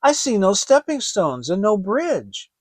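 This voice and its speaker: A man's voice: sits high, sing-song, unhurried, dry recording, voice pushed up, heavily acted. A man voicing a plucky, practical young boy with an earnest manner in a dramatic fairy tale reading. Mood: worried.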